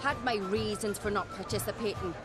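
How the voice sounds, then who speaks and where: A woman's voice: medium pitch, fairly quick, close to an everyday voice. An actress playing a bold, teasing young noblewoman warrior in a fantasy game, brash and direct. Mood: defiant. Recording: loud music and outdoor noise behind.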